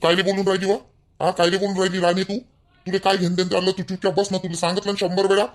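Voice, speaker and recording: A man's voice: high-pitched, little pitch movement, fast, natural voice. A man playing an angry young man of the family who scolds and threatens. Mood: scolding. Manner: shouting, threatening. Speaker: actor in a family drama skit.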